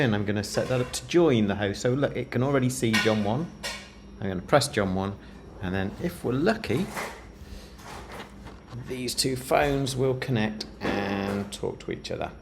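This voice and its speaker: A man's voice: medium pitch, moderate pace. A man talking casually to camera in a vlog, with faint ambient noise behind him. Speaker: vlogger, rock climber.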